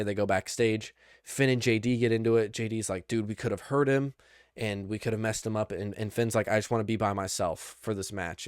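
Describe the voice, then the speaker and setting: A young man hosting a podcast, recapping wrestling in casual chat, rambling and excitable, with lots of restarts. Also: fairly low pitch, smooth, no echo, clean studio sound. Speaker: podcast host.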